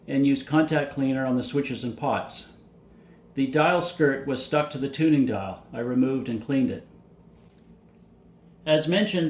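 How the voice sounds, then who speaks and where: A man narrating a scripted technical explanation in a measured, matter-of-fact way, as a hobbyist presenter. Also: medium pitch, a little mellow. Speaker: vintage radio hobbyist and presenter.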